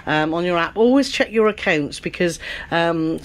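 A woman's voice: lowish voice, lively intonation, normal speed. A middle-aged woman chatting casually as she tours a cruise cabin, often checking for agreement. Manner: chatty, seeks agreement with tag questions. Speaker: travel vlogger co-host. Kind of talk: casual tour chat. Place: cruise ship cabin, indoors.

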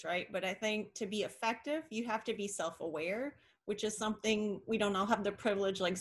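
Middle-aged woman executive, measured tone, video call. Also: medium pitch, vocal fry. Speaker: nonprofit executive panelist. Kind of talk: panel discussion.

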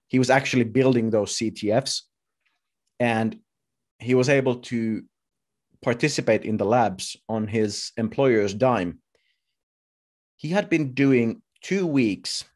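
A man in his thirties giving a personal talk as a speaker, telling his story in short, halting, deliberate phrases. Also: medium pitch, darkish tone. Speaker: conference speaker. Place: indoors at home, video call.

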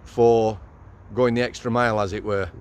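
A man's voice: fairly low pitch, steady tone, normal speed, clear, resonant tone, dull audio. A middle-aged man interviewing a guest on a night street, asking blunt, prompting questions into a handheld mic. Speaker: host interviewing a former prisoner. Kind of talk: walk-and-talk interview. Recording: faint street noise outdoors.

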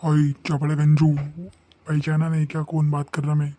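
A man's voice: fairly high pitch, completely flat delivery, moderate pace, warm tone, no room sound, lightly acted. A man voicing a menacing, bullying cartoon kidnapper. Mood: taunting. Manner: bullying, taunting, threatening. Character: menacing village gangster kidnapper demanding ransom by phone. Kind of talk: Marathi cartoon comedy dialogue.

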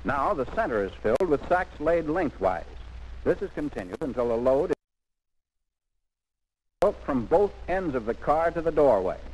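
A man's voice: on the high side, sing-song, moderate pace, pauses often, breathy edge, rough recording. A man narrating a 1940s military instructional film in an emphatic, instructive scripted manner, on an old film soundtrack.

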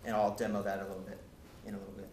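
Young male presenter, lowish voice, loose explanatory delivery full of fillers; roomy lecture hall, light hiss. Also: completely flat delivery, very fast, darkish tone. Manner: casual, explanatory, many fillers and restarts. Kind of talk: technical meetup talk. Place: lecture room with projector. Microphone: far camera. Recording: echoey room, faint hiss, from camera.

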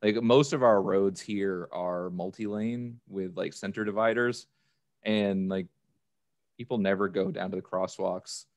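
Guy in his 30s chatting on a podcast, low voice w lots of fry. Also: fairly even intonation, a little slow. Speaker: podcast guest. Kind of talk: casual chat. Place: plain indoor room, video call. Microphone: desk microphone with headphones on.

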